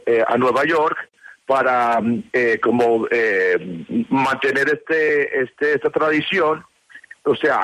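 A Colombian man in his sixties, interviewed on the phone, talking with many pauses and fillers. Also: mid-range voice, fairly bright tone, no echo, low-quality recording. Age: 60s. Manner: hesitant, many fillers and restarts.

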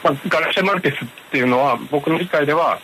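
Hombre japonés de habla rápida, con siseo. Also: male voice, on the high side, very fast, a bit bright, low-quality recording. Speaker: publishing professional, interview guest. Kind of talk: explanatory interview answer. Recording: hissy remote radio link.

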